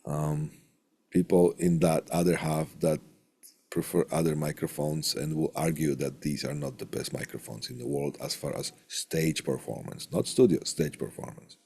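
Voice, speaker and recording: A man with a gravelly, husky voice giving an informal recorded lecture as an instructor, halting and hesitant with long pauses, close on a studio condenser mic. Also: a bass voice, steady tone, warm tone. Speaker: college instructor teaching audio equipment. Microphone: close studio condenser microphone through tube preamp.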